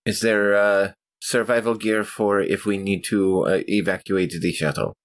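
Deep voiced guy playing a tabletop rpg, talks real slow and measured. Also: steady tone. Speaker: player in a tabletop RPG podcast. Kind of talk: casual game talk, describing own actions.

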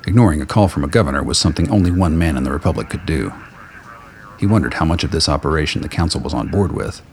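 A man's voice: very deep voice, animated, fast talker, a little mellow. A man reading scripted narration as the storyteller of a radio play. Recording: faint noise and ambience.

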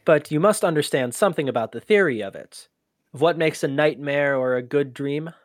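An actor playing an inquisitive human archivist in an audio drama, with a conversational, halting, often exasperated manner. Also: medium pitch, dry recording, clean studio sound, lightly acted. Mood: frustrated, insistent. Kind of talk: scripted audio drama acting.

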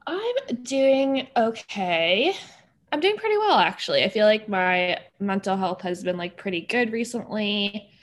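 A woman's voice: medium pitch, lively intonation, unhurried. A young woman co-hosting a personal finance podcast, chatting casually with lots of "like" and easy laughter. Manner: chatty, many "like" fillers, laughs easily. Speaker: podcast co-host. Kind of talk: casual chat.

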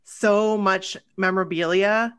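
A woman's voice: mid-range voice, fairly even intonation, measured pace, clean tone. Agreeable woman in her forties. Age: 40s. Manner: agreeable, affirming, giggly. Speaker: podcast co-host guest. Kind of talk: casual interview chat.

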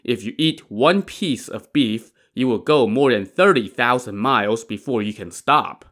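A sly storybook magician who is calmly in charge, played by a male narrator. He hands out instructions with mock seriousness and teases as he goes, in a medium-pitched voice. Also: measured pace. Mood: mock-serious.

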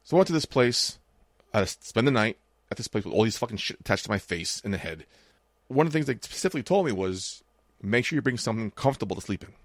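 A man in his late thirties talks fast and casually on a podcast, swearing as he tells stories. His voice sits a little low and sounds dry, with no room around it. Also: animated, no room sound. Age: 30s. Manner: swears freely, tells anecdotes with quoted dialogue. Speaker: podcast co-host. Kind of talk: casual chat.

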